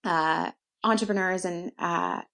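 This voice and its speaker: A woman's voice: fairly low pitch, unhurried, fairly bright tone. A woman from Canada with a Canadian accent, talking conversationally about herself as an interview guest. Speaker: interview guest, co-working space founder. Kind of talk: conversational personal storytelling in an interview.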